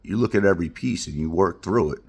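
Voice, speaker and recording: A man's voice: low voice, quick pace, slightly rough. A middle-aged man from Queens, talking bluntly and heartfelt in a rambling personal monologue. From Queens, New York. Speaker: vlogger.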